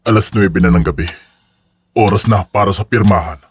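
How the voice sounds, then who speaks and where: A man playing a deferential, discreet henchman with a husky voice, reporting in a businesslike way in an audio drama. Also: deep voice, fairly quick. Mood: obedient, businesslike. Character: deferential male henchman reporting to his crime boss. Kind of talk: dramatized audio story acting.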